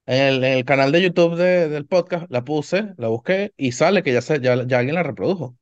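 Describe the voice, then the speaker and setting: A man with a Venezuelan accent co-hosting a podcast, laid-back and quick to laugh. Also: on the high side, no echo, muffled recording. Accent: Venezuelan Spanish. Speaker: podcast co-host. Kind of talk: casual chat.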